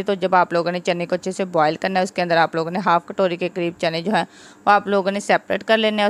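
Cooking-channel host: a woman with a lowish voice, chatty, walks viewers through each step. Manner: chatty, instructive, repeats "aap logon ne". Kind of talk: home cooking tutorial voice-over. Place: home kitchen.

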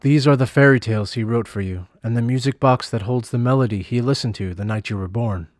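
A man playing a remorseful former soldier who speaks gravely and slowly. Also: mid-range voice, no echo, clean studio sound, natural voice. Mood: solemn. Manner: grave and slow.

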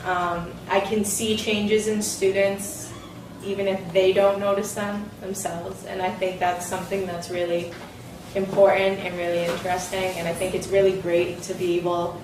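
A woman's voice: medium pitch, level tone, normal speed, noisy background. A woman in her twenties, a college student volunteer, speaking earnestly with some fillers in a classroom. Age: 20s. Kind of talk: interview answers. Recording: echoey classroom, distant mic.

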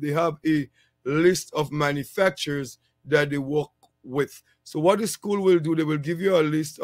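A man in his thirties, a working technician from a small island, giving relaxed, rambling career advice on his channel with plenty of ums, seated indoors with a headset mic. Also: on the high side, dry recording, studio quality. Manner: relaxed, rambling, many fillers. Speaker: channel host, HVAC technician. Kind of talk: casual advice vlog.

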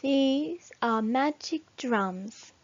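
A wise old wildebeest lady from a storybook, played by a woman reader. She speaks slowly in a high voice, gentle and mysterious, stressing her words. The sound is slightly dull. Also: lively intonation, no echo, heavily acted. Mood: knowing. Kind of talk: read-aloud children's storybook.

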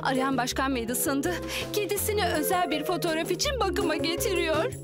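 A woman voicing a flustered, affectionate young vet in a children's cartoon, fussing and very expressive. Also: extremely high pitch, moderate pace, loud music behind the voice. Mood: worried. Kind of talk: animated children's cartoon dub.

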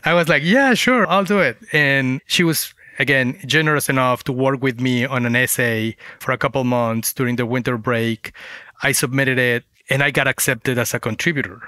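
A man with a Mexican accent speaks as a guest author in an interview, his first language Spanish. His voice is bright and smooth at a middle pitch. The recording is close and dry, and he tells his story in a conversational way. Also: normal speed, no room sound.

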